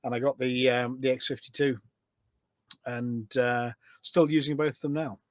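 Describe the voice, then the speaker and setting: A man's voice: medium pitch, fairly even intonation, normal speed, dry recording. A middle-aged man chatting casually as a podcast guest, enthusiastic and full of anecdotes. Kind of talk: casual chat. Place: indoors at a desk at home.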